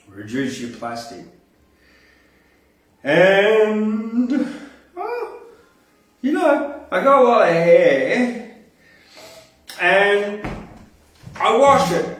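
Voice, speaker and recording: A fairly high-voiced Australian man performs verse with a theatrical, rhythmic swing. He sounds like a poet hosting his own night. The recording is very echoey and set back from the mic. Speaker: poetry show host and poet. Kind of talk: performance poetry recital with casual hosting. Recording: very echoey room, distant from mic.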